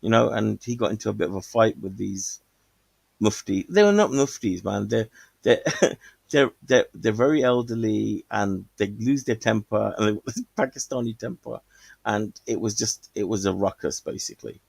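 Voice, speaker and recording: Gravelly-voiced man rambling on a call. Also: fairly low pitch, expressive, normal speed, darkish tone, no room sound. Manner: rambling, hesitant, with many fillers and restarts. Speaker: caller. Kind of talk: casual call-in chat.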